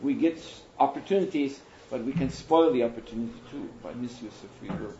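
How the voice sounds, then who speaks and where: A man speaking as a spiritual teacher in a question and answer session, thoughtful and unhurried, pausing often. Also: medium pitch, expressive, background noise. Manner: thoughtful, unhurried, with pauses and soft laughs. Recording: roomy hall, muffled and lo-fi.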